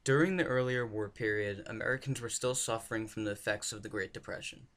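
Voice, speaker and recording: A man's voice: lowish voice, steady tone, fast, a bit bright. A man narrating a scripted newsreel, reading from prepared text.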